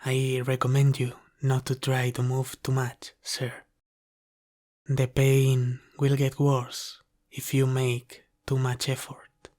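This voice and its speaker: A devoted half-dragon butler, played by a man for an ASMR roleplay, speaks at a slow, careful pace. His mid-pitched voice stays level and restrained. He is formal, deferential and caring, with an apologetic humility toward the one he serves. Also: completely flat delivery, darkish tone, natural voice.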